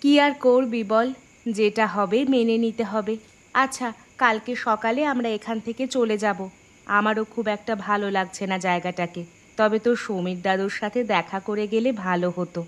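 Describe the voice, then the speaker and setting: An actress playing a tired, resigned middle-aged mother soothing her grown son in an animated horror story. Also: medium pitch, normal speed, lightly acted.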